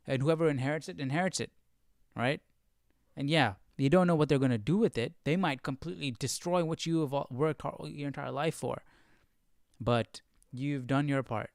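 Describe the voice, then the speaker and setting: A man's voice: on the high side, moderate pace, vocal fry, dry recording, clean studio sound. A man hosting a Bible study livestream, talking casually and off the cuff, searching for words and stretching phrases for emphasis. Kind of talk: casual unscripted commentary.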